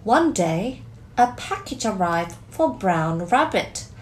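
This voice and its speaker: A woman in her thirties reading a picture book aloud to children, expressive and deliberate, drawing out key words in a small room. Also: mid-range voice, soft background music. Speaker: storyteller, teacher reading to children. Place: small curtained room indoors.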